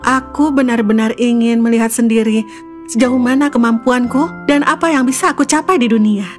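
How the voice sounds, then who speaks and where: A brave, determined young heroine of a storybook, played by a woman narrator. Her voice sits fairly high and she speaks earnestly and respectfully, over light music. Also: moderate pace, natural voice. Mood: earnest, grateful.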